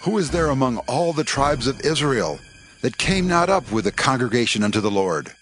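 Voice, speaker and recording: A man's voice: medium pitch, expressive, normal speed, a bit bright, lightly acted. Male actor as stern biblical tribesman, medium register, formal declamation, light music underneath. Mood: demanding. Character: stern Israelite man questioning the tribes. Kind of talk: dramatized scripture acting.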